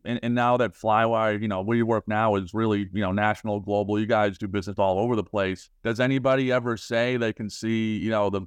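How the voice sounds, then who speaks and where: Smooth, lowish-voiced male podcast host. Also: no room sound. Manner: meandering questions with repeated words. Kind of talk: casual conversational interviewing.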